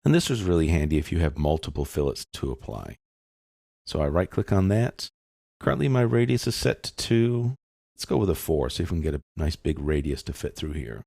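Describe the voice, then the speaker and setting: A man giving an informal step-by-step software tutorial, explaining casually as he thinks aloud. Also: a bass voice, very animated, fairly quick, creaky, no echo, studio quality.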